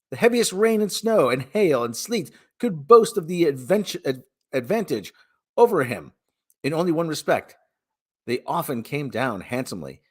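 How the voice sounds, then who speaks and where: Male narrator for a classic tale, theatrical and emphatic, mid-range voice that swings widely in pitch. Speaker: reader and streamer. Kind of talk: dramatic storybook narration.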